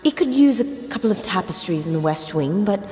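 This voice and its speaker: A woman's voice: medium pitch, lively intonation, brisk, mellow, natural voice. A woman voicing a sassy, catty, gossipy female adventurer in improvised tabletop roleplay, with an exaggerated drawl. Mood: curious, flattering. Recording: echoey hall, music underneath.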